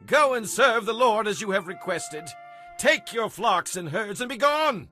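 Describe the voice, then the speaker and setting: Pharaoh, a high-pitched, overwrought king. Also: expressive, measured pace, bright, forceful tone, faint music behind, exaggerated voice. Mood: anguished, furious. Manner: imperious, overwrought. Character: Pharaoh, distraught imperious male king of Egypt. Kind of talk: dramatized scripture acting.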